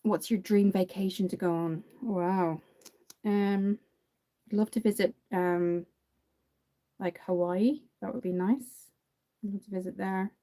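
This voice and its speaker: A woman's voice: mid-range voice, fairly even intonation, a little slow, pauses often, mellow. A young woman from Dublin with an Irish accent, chatting away through a casual livestream makeup tutorial and answering viewer comments. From Ireland.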